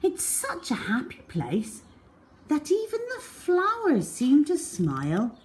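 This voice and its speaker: A woman reading a children's story aloud as a storyteller, in an expressive, deliberate manner with drawn-out words. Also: high-pitched.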